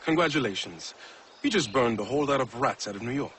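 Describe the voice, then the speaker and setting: A man voicing a cocky, friendly frontiersman with a lively, bouncing pitch and a breezy, quick delivery. There is faint outdoor noise behind the video game cutscene. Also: lightly acted.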